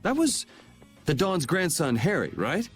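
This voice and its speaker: A man's voice: a little high, big pitch swings, measured pace, loud music behind the voice. An actor playing a casual, direct young male adventurer in a video game.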